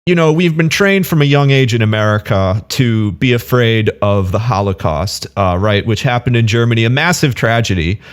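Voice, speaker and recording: A man's voice: mid-range voice, moderate pace, few pauses, clean tone. A man giving casual, argumentative reaction commentary on a video. He is dismissive and keeps circling back with fillers. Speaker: online commentator.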